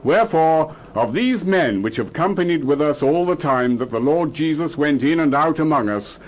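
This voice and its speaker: An actor playing a commanding, fervent apostle who preaches solemnly in a dramatized Bible reading. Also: male voice, on the high side, animated, moderate pace, close to an everyday voice, low-quality recording. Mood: solemn, urgent. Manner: declamatory, solemn.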